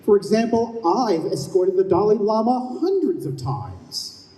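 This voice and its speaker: Huffy afterlife escort guy acting, lively pitch, officious, old radio drama, very echoey. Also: measured pace. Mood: obliging. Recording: echoey live stage, long reverb.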